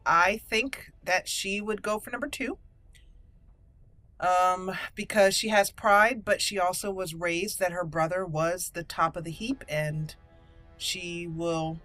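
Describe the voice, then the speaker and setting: A woman hosting a roleplay game playthrough, commenting deliberately as she thinks aloud. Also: on the low side, steady tone, fairly bright tone, no echo, soft background music. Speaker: let's play host.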